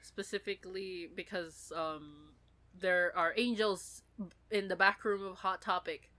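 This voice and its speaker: A woman's voice: lowish voice, fairly even intonation, a little slow, pushed and bright, dry recording. A woman in a casual, rambling fan discussion, talking as a podcast co-host.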